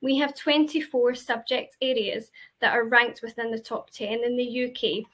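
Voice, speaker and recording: Upbeat Glaswegian woman, slightly high voice. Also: steady tone, moderate pace, fairly bright tone. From Scotland. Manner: enthusiastic, promotional, with frequent fillers. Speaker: university representative. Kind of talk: presentation to prospective students.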